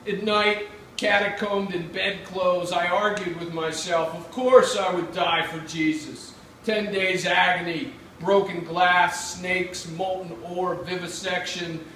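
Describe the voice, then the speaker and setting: American guy doing a live literary reading, kinda high voice, super echoey and far from the mic. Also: a bit bright. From Pittsburgh, United States. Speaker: writer reading own work. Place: indoor reading event. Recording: echoey room, far mic, faint noise.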